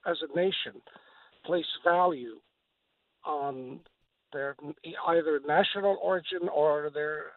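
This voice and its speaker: Slow man on a phone line. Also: on the high side, gravelly, no room sound. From Lebanon. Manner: hesitant, measured, pausing often. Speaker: radio show caller. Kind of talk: earnest phone-in opinion. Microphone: telephone. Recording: narrow, muffled phone line.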